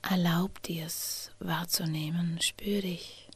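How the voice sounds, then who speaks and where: A woman leading a guided inner-child meditation, speaking softly and often in a whisper, with gentle, drawn-out instructions close to the mic. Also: lowish voice, fairly even intonation, slightly rough.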